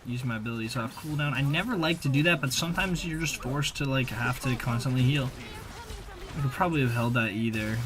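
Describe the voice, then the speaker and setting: A man's voice: mid-range voice, a little fast, crowd noise. A man giving casual, slangy, conversational voice-over commentary on video gameplay, as a gaming video creator.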